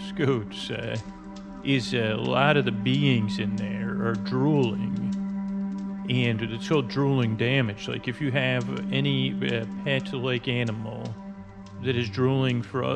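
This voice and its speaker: A man's voice: medium pitch, creaky. A middle-aged man hosting a podcast, delivering a rambling, whimsical, hesitant monologue that keeps interrupting and apologizing for itself, with sound-design effects. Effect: sound-design effects over the episode. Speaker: podcast host. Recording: dull lo-fi sound under loud music. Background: loud music.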